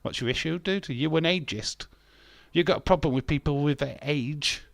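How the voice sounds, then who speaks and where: A man's voice: a little high, moderate pace, creaky, dry recording. A man in his fifties hosting a live stream, reading chat comments aloud and answering them bluntly with sarcasm and frequent swearing. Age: 50s.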